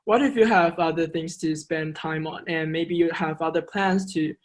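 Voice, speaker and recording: A man's voice: on the high side, steady tone, moderate pace. A young man from Taiwan, a second-year student, introducing himself on a video call. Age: 20s. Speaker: student ambassador.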